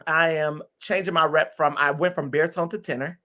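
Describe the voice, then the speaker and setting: A man in his thirties from Detroit, a guest singer chatting in an interview, expressive and animated. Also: fairly high pitch, fairly quick. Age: 30s. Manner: lively, expressive, swings from loud exclamations to soft asides. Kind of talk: casual interview chat.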